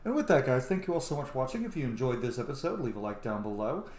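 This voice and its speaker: A man's voice: medium pitch, sing-song, fast talker, a little mellow. A man giving casual let's play commentary as host of a gaming channel. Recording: roomy echo, faint background noise.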